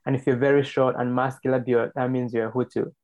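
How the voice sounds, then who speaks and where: A young man from Rwanda, a guest telling his story in a measured, hesitant way with many fillers. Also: medium pitch, little pitch movement, darkish tone. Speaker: guest, spokesperson. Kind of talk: interview storytelling.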